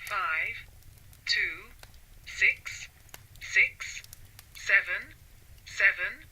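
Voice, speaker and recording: A woman's voice: mid-range voice, extremely slow, pushed and bright, a little hiss or hum, heavily acted, poor audio. A woman playing an impersonal, mysterious numbers-station announcer in an audio story, carefully enunciating each word over shortwave radio. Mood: impersonal, measured. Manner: carefully enunciated, clipped isolated digits. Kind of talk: coded shortwave radio broadcast.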